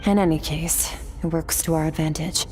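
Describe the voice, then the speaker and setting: An actress playing a cool, aloof female warrior mentor in a video game, speaking calmly and coldly. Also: low voice, soft background music, close to an everyday voice. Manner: calm, cold, measured.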